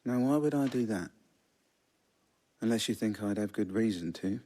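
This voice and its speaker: An actor playing a blunt, pragmatic soldier, wry and colloquial, in a video game cutscene. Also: male voice, on the low side, lively intonation, normal speed, warm tone.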